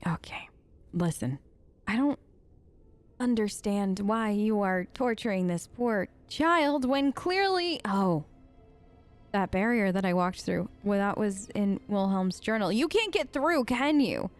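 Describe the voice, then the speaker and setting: A woman's voice: medium pitch, lively intonation, takes it slowly, faint music behind. A woman doing improvised role-play commentary over a video game in a sassy, sarcastic, conversational way. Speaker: gaming video host.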